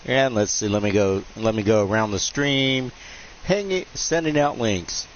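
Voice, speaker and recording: A man's voice: fairly low pitch, unhurried. A man hosting a live game stream, chatting casually in a nasal, joking, self-deprecating way. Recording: dull lo-fi stream mic with faint hiss.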